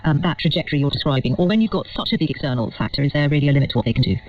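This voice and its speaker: A man's voice: a little high, expressive, rapid-fire, slight background noise. A man presenting and interviewing on a live radio news programme. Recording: distant, muffled shortwave radio broadcast.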